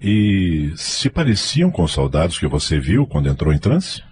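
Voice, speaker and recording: An actor playing a grave, questioning middle-aged husband in a radio drama. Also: male voice, low-pitched, big pitch swings, moderate pace, darkish tone. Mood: puzzled. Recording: faint background noise.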